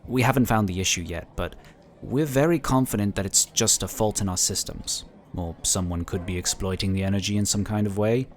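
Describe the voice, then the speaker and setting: An actor playing a calm, courteous inquisitor who explains things in a formal, measured way in a sci-fi audio drama. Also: male voice, lowish voice, natural voice. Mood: matter-of-fact. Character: calm, courteous psionic inquisitor, proud pacifist.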